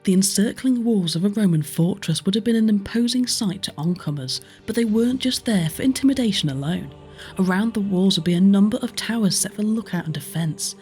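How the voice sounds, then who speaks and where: A British woman presents a history tour in scripted narration. Her voice sits at an average pitch for a woman, and soft music plays underneath. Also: brisk. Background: background music.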